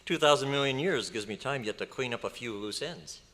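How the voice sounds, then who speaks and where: A man's voice: mid-range voice, brisk, a bit bright, lightly acted. An actor playing a polite, curious, slightly wry young newspaperman in a live recreation of an old radio drama. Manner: courteous, inquisitive, lightly wry. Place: large hotel meeting room at a convention. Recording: echoey hall, live stage performance.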